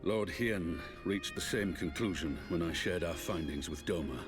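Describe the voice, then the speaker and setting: Deep, commanding male military leader, acted by a man. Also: moderate pace, close to an everyday voice. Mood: resolute. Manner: formal, authoritative. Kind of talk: fantasy video game voice acting. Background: orchestral game music with strings.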